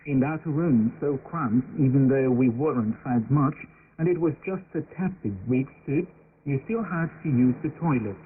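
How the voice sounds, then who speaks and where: A man's voice: mid-range voice, animated, moderate pace. A man reads translated first-person testimony as a scripted voice-over on a shortwave radio broadcast. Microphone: broadcast received over shortwave radio. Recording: noisy narrow-band shortwave radio broadcast.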